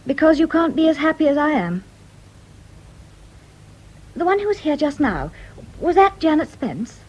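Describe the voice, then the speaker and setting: An actress playing a lovestruck, impulsive young English mistress in a radio drama, ardent and emotional. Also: female voice, sits high, sing-song, moderate pace, darkish tone, lo-fi sound. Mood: tender. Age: young adult.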